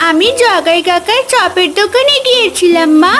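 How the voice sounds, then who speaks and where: Very high-voiced little village girl. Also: moderate pace, over music. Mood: sweet, earnest. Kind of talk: Bengali cartoon story dubbing.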